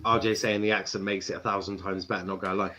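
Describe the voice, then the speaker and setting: A man's voice: low voice, little pitch movement, quick pace, bright, forceful tone. An English man hosting a casual football watchalong, bantering and reading viewer comments aloud. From England. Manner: banter, reads chat comments aloud, laughs often. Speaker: stream host.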